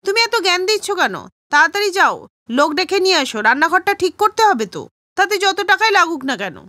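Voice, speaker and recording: A woman's voice: extremely high pitch, very animated, fairly quick, bright, forceful tone, no echo, clean studio sound, pitched above the performer's own voice, full character voice. A woman voicing a stubborn, vain young housewife in a cartoon story, insistent and complaining. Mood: annoyed. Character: stubborn, vain young housewife who nags her family.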